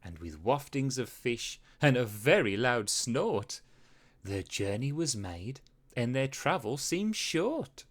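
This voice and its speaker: A storybook narrator reads rhyming verse for children. The delivery is unhurried and full of dramatic swings, with expressive phrasing throughout. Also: mid-range voice. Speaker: storybook reader. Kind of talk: children's read-aloud narration in rhyme.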